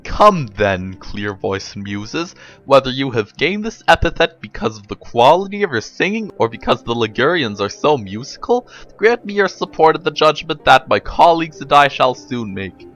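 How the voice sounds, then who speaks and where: Man as a pompous arbiter, animated and formal. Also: medium pitch, moderate pace, muffled recording. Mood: declaiming. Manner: stately, pompous, rhetorical. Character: pompous, formal male arbiter with philosophical airs.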